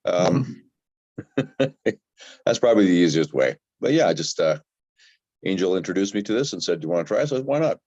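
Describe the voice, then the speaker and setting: A man's voice: sits low, animated, moderate pace, long pauses, darkish tone, dry recording. A man introducing himself casually in short, understated sentences. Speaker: personal trainer, newcomer to acting. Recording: dull, lo-fi microphone sound.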